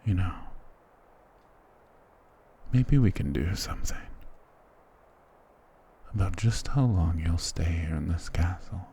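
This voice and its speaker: A man's voice: sits low, animated, slow pace, pauses often, mellow, slightly rough, full character voice. A man playing a dominant, doting vampire lord in an intimate ASMR roleplay, speaking tenderly and possessively with formal endearments. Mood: tender, seductive.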